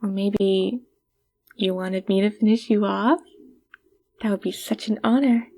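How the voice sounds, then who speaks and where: A woman acts out a haughty villainess with a mid-pitched voice. She speaks intimately to the listener, teasing and talking down, with a secretly caring streak. It is an ASMR roleplay performance. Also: fairly even intonation, a little slow, vocal fry, mellow.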